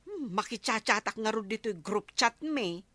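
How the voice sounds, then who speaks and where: Casting: woman as eager, whiny rural wife, bright and high, Filipino Ilocano accent, comedy drama. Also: animated, moderate pace, no echo. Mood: chatty, insistent. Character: naive, plaintive village wife, eager to fit in.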